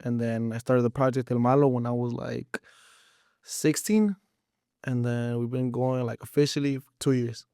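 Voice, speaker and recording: A man's voice: mid-range voice, moderate pace, dark tone, clear, resonant tone, no echo, studio quality. A man of about twenty, chatting casually as an interview guest in slangy English mixed with Spanish. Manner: slangy, informal, frequent swearing.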